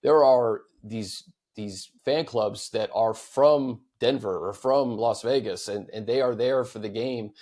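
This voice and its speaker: A man's voice: mid-range voice, a little slow, darkish tone. An American man hosting a sports talk show in a casual, easygoing, conversational style. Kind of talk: casual sports talk, reading fan questions.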